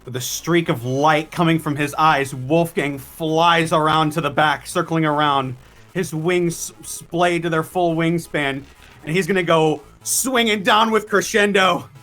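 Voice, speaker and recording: A man with a somewhat high voice plays a tabletop role-playing game. He chats casually and improvises descriptions of his moves over quiet background music. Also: a little slow.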